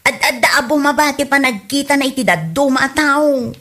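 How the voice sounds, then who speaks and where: A woman plays an opinionated friend who loves to gossip in a comedy audio drama. Her voice is fairly high and forceful, with a teasing tone. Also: brisk. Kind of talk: comedy radio drama acting.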